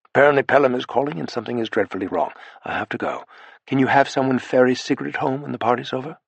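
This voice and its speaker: A man's voice: medium pitch, quick pace, vocal fry, husky, no room sound. A man doing a weary aristocratic agent.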